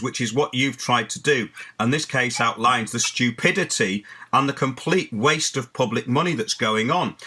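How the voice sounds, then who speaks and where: Male host, mid-range and sharp-edged, opinionated, emphatic, restating points as he talks. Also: medium pitch, slightly rough. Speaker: show host. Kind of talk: opinionated discussion.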